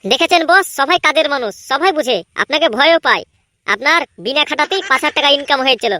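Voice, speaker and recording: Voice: high voice, lively intonation, fast, sharp tone, no room sound. A performer voicing a cheeky, greedy young male sidekick who needles and argues in a comedy cartoon. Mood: nagging. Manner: needling, argumentative.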